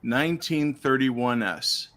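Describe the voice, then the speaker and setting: Man losing his voice, steady explainer. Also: mid-range voice, slow pace. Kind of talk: casual chat about coins.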